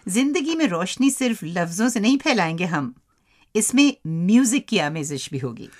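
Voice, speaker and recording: A woman's voice: mid-range voice, very animated, normal speed, darkish tone. A woman hosting a radio show in a scripted presenting style. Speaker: radio host.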